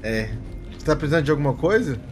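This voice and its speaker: A man's voice: on the high side, big pitch swings, racing. A man with a Brazilian accent voicing an obliging, tired office clerk in a tabletop game, casual and repetitive. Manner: casual, repeats himself.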